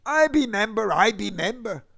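A man puts on an eager young immigrant, his voice lifted high above the narration and speaking broken English. The lines swing with lively, bouncing pitch and have a gravelly edge. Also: measured pace, exaggerated voice. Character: hot-dog-loving young male Russian immigrant.

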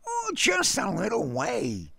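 Curious, unworried storybook boy, voiced by a male narrator, high-pitched and matter-of-fact. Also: wildly swooping pitch, slow, gravelly, bright, forceful tone, slight background noise, exaggerated voice.

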